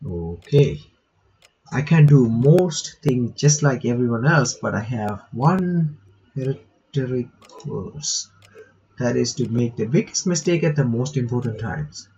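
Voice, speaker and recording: A man's voice: medium pitch, expressive, unhurried, dark tone, lo-fi sound, slight background noise. A man reading visual novel text aloud with casual commentary, breaking off to remark and reread lines. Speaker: gaming reaction video maker.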